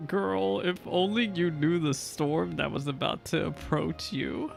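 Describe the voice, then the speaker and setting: A streamer giving casual reaction commentary while playing through a video game, in a creaky voice. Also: mid-range voice, steady tone, unhurried, soft background music.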